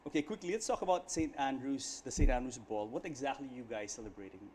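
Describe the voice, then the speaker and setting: A man's voice: medium pitch, fast talker, darkish tone, a little hiss or hum. A man hosting a talk show, asking his guests questions in an enthusiastic, chatty way. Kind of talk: studio talk show interview.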